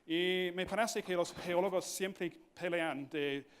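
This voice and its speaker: Conferencista con inglés nativo, tono algo agudo. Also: male voice, sits high, clear, resonant tone. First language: English. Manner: halting, slips into English fillers like "so" and "point". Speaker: conference speaker, geophysicist. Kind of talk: conference lecture in non-native Spanish. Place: conference hall.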